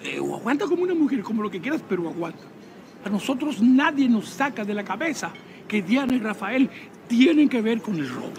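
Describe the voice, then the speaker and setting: An actor playing a cheeky, stubborn amateur detective sidekick with a teasing Dominican delivery. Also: male voice, sits high, big pitch swings, a little fast, slight background noise. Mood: mock-plaintive. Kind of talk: comedy film acting. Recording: loud music over dialogue.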